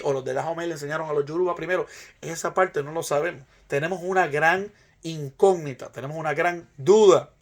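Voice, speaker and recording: Male presenter, Puerto Rican accent, slightly high voice, arguing a case informally with emphatic, rhetorical delivery. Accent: Puerto Rican Spanish. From Puerto Rico. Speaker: presenter, Ifa practitioner. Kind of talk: argumentative informal lecture.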